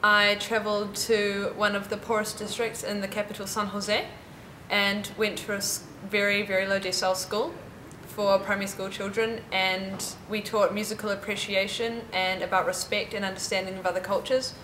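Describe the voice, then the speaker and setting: A woman's voice: little pitch movement, normal speed, pushed and bright. A young woman recounting her volunteer experiences in a reflective, storytelling manner. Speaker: student. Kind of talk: short testimonial snippets. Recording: echoey room with clear rumble.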